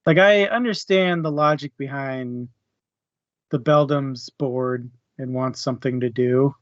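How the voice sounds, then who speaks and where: Unhurried man in dry, muffled audio. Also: mid-range voice, no room sound. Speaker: book discussion co-host. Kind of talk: casual book discussion chat.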